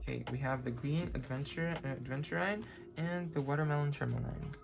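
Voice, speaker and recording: Non-native English card reader with a gravelly voice and a halting delivery full of fillers, over clear background music. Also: medium pitch. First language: not English. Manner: halting, many fillers, searching for words. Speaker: tarot and oracle card reader. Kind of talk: pick-a-card spiritual reading.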